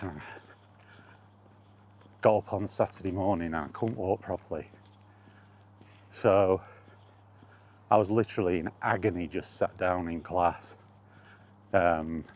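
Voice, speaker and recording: A man's voice: deep voice, a little mellow. A middle-aged British man casually rambling through personal memories, as in an informal vlog. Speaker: vlogger.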